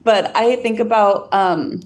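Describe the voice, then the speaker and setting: A woman's voice: mid-range voice, slow, a little mellow. A woman in a casual podcast discussion, speaking thoughtfully and hesitantly with many fillers. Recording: a bit distant from the mic.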